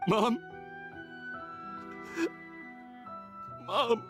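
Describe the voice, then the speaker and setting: An actor playing a desperate, distraught son in a video game, pleading in a husky voice. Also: male voice, very high voice, takes it slowly, over music. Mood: anguished, desperate.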